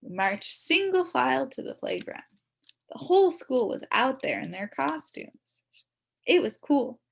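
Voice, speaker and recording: A woman reading a children's story aloud in the first person as a kid, expressively, with dramatic emphasis and drawn-out words. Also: high voice, creaky, slightly rough. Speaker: storybook reader.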